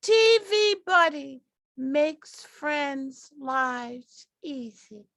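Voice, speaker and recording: Woman acting very high, chirpy talking remote. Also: animated, very slow, fairly bright tone, no room sound, exaggerated voice. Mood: chirpy selling. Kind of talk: old-time radio commercial parody.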